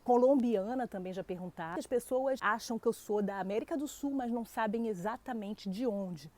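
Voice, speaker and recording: A woman's voice: on the high side, moderate pace, warm tone. A woman from Brazil with a Brazilian Portuguese accent, hosting her own channel and answering a viewer's question in a chatty, direct way. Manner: chatty, talks straight to viewers, many fillers. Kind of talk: casual talk to camera.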